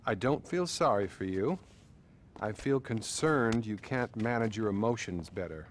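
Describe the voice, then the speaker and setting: An actor playing a patronizing male therapist, measured and placating, in a naturalistic video game style. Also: lowish voice, lively intonation, a little hiss or hum. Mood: condescending.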